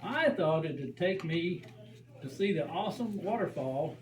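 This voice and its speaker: An excitable puppet character acted by a performer in a children's church show. The character spins dramatic, exaggerated stories. The sound is distant and echoey, with faint noise behind it. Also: mid-range voice, measured pace, close to an everyday voice. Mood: defensive. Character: excitable puppet named Rocky, adventurous, prone to exaggerate. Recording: roomy, distant mic, faint background noise.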